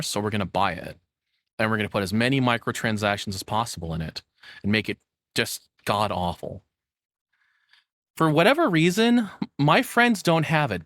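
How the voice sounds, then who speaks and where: A man streaming casually, with pitch that moves up and down a lot. He rambles in a nervous, self-deprecating way, and the recording is close and dry. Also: fairly bright tone, dry recording. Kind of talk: casual livestream chat and reaction commentary. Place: hot home room.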